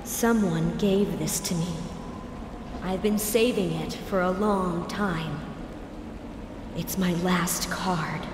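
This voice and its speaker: Casting: doomed, possessed girl in a horror game; frail, drawn-out whispery delivery, echoey and noisy. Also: lowish voice, steady tone. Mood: weary, eerie. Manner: halting, breathy, lapsing into whispers. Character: doomed, possessed young woman, frail and sorrowful. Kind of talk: dubbed horror game acting. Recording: echoey cave reverb with noise.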